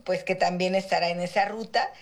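A middle-aged woman, a senator answering interview questions slowly and with many "eh" and "pues" fillers. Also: on the low side, steady tone. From Guerrero, Mexico. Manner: slow and measured, with frequent "eh" and "pues" fillers. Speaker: senator and political candidate, interviewee.

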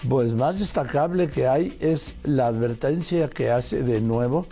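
Mellow-voiced male news commentator. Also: medium pitch, a little slow.

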